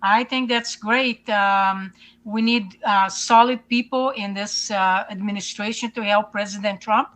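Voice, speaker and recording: A woman's voice: mid-range voice, a bit bright. Woman talking earnestly. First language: Hungarian. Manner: earnest, many fillers and restarts. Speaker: guest. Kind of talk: casual interview talk.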